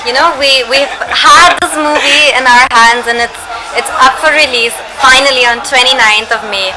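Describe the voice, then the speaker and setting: A woman's voice: high-pitched, fairly even intonation, normal speed, a crowd in the background, background noise. A young woman, an actress, chatting playfully and laughing often in a press interview; her first language is not Hindi. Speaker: actress promoting a film.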